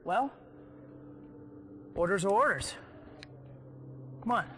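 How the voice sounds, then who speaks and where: A man's voice: sits high, lively intonation, slow, a bit bright, a little hiss or hum, exaggerated voice. An actor playing a nervous young male soldier in a game cutscene who is eager and deferential. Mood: excited. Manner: eager, then flustered and deferential.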